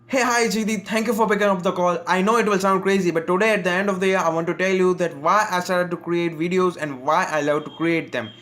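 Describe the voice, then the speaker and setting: High, fast-talking bright man. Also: steady tone. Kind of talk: recorded phone-message monologue.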